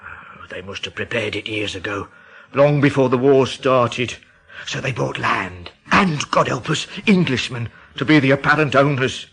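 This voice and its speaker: An alarmed Midlands Englishman in a radio drama. His medium-pitched voice is urgent and dramatic, full of dread, as he tells his tale like someone badly shaken. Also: expressive, moderate pace, gravelly, fairly bright tone, breathy edge, heavily acted. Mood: grim, fearful. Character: alarmed, agitated English Midlands man spreading rumours of terror.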